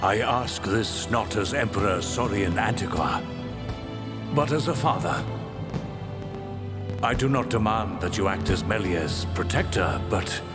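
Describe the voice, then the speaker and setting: An actor playing a dignified older emperor in a video game, grave, measured and formal, with a husky voice. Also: male voice, lowish voice, animated, over loud music. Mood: solemn, fatherly. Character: solemn, dignified older male emperor and father. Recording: long hall reverb.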